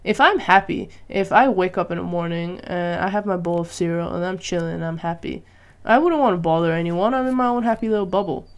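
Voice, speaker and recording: A woman from Malaysia with a fairly low voice talks through her views in a loose, unscripted monologue. She muses aloud, poses questions to herself and the listener, and pauses on fillers as she looks for the next thought. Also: animated. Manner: musing, thinks aloud with rhetorical questions and frequent "right?" and "you know?". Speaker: vlogger. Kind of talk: casual opinion monologue.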